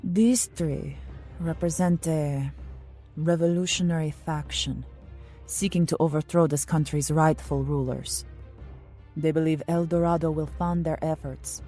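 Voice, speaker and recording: Woman playing a low, dark-voiced mysterious royal agent. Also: fairly even intonation, measured pace, faint music behind. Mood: grave, commanding. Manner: formal, imperious, cryptic. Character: mysterious, commanding female royal agent, coolly condescending. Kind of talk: steampunk audio drama acting.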